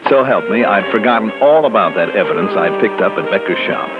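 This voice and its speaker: An actor playing a breezy, wisecracking American insurance investigator in a punchy, theatrical old-time radio style. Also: male voice, fairly low pitch, lively intonation, a little fast, close to an everyday voice. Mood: musing, confiding. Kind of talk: mid-century American radio detective acting. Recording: dull old radio recording, music behind.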